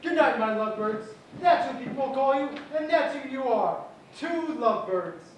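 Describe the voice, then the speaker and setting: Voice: high-pitched, animated, moderate pace. An actor playing a cheerful, chuckling elderly family friend. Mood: jovial. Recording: very echoey, distant mic, background noise.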